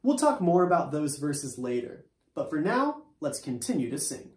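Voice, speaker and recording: A man's voice: on the high side, normal speed. Young man leading chapel, roomy home sound. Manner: playful, animated when singing, calm and plain when reading. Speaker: school chapel leader. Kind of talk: children's chapel with sung songs and scripture reading. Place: living room, seated on a couch. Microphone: camera in front, upper body framing. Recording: roomy home recording with guitar.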